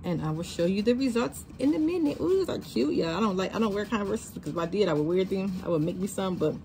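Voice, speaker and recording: Raspy-voiced woman chatting through a craft tutorial. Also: mid-range voice, expressive, fast, mellow, soft background music. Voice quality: slightly raspy. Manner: chatty, talks to viewers as y'all. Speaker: crafter teaching shoe decorating. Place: craft studio with sewing and embroidery machines. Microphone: camera over worktable.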